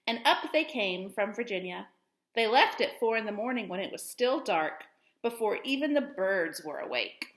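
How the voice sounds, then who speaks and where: A woman teacher reading a storybook aloud to children with expressive, deliberate storytelling phrasing. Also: mid-range voice, reverberant.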